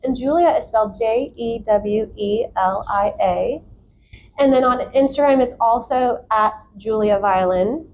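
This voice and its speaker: A woman's voice: on the high side, measured pace, muffled recording, slight background noise. A woman chatting casually and enthusiastically as an interview guest, in an informal way. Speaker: podcast guest, content creator.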